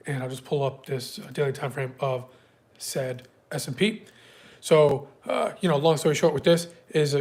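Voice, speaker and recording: A man's voice: mid-range voice, darkish tone. Man with a stuffy cold, hosting. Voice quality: slightly congested. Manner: loose, filler-heavy, chats with viewers by name. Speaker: day trading livestream host. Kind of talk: casual live market prep walkthrough.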